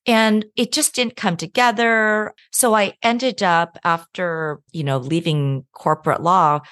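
A middle-aged woman, a law professor and author, answering interview questions in an animated way and laughing often. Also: on the low side, unhurried, no room sound, clean studio sound. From United States.

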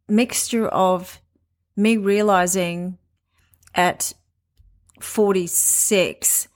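Podcast host, woman, late forties, candid and conversational, mid-pitched, halting at times. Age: 40s. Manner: candid, conversational, with frequent pauses and restarts. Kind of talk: personal monologue.

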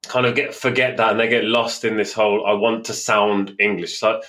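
A man's voice: on the low side, flat delivery, brisk, a bit bright. A man who teaches languages, talking casually in an interview. He speaks with emphasis and fills his talk with "you know" and "like". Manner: agreeable, emphatic, many fillers.

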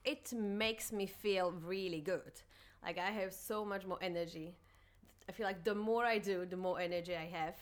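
Lower-pitched woman from Slovakia, casual interviewee. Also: moderate pace. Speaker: podcast guest.

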